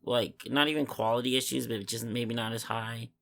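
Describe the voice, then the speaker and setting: Creaky, bright-edged co-host talking haltingly. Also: mid-range voice, fairly even intonation. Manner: halting, searching for words. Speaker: podcast co-host. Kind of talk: casual film discussion.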